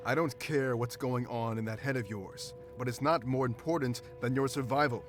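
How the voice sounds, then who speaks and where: An actor playing a protective, pragmatic bodyguard in a visual novel game, speaking in an earnest, measured, commanding way. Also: male voice, medium pitch, over music, lightly acted. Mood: firm, urging. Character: male protective bodyguard, firm, pragmatic, calm under danger.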